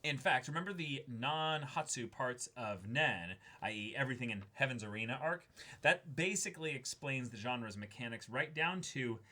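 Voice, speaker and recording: Bright-voiced young man reading aloud. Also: mid-range voice, lively intonation, moderate pace. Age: young adult. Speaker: co-host of an unboxing show. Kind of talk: reading a letter aloud with casual asides. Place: small indoor room, seated before a backdrop.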